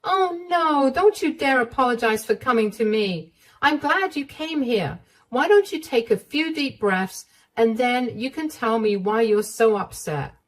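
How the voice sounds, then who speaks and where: Storybook mother-in-law, middle-aged, protective and reassuring, put on by a woman narrator, pitched up. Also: expressive, unhurried, poor audio. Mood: soothing. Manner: warm, indignant and reassuring.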